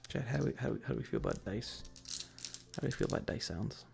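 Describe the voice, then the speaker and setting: A soft-spoken, partly whispering ASMR streamer chatting casually and playfully with viewers into a close microphone, often repeating phrases. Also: mid-range voice, huge pitch swings, fast talker, gravelly, music in the background. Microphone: close adjustable microphone.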